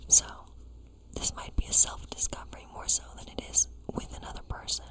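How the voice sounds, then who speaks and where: A woman whispering gently and breathily in an ASMR role play as a kindly witch who reads tarot. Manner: gentle, slow, soothing reassurance. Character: kindly witch reading tarot in her woodland cottage. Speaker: ASMR performer playing a witch.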